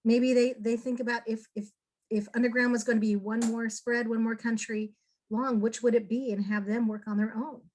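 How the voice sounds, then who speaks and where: Upbeat interviewer, middle-aged woman, slightly high pitch, eager and warm questions. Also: little pitch movement, moderate pace, slight background noise. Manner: enthusiastic, encouraging. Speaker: interview host. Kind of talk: casual interview.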